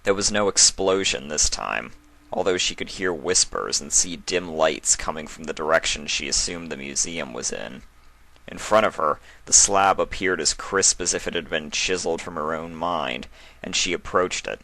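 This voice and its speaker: Deep, bright-toned man narrating a story. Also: animated, normal speed, gravelly, low-quality recording. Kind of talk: scripted fan fiction story narration.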